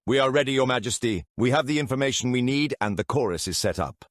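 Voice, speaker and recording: A man's voice: mid-range voice, fast, no room sound, studio quality, pitched above the performer's own voice, close to an everyday voice. A man voicing a demon noble who reports deferentially to his king in an audiobook. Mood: anxious assurance.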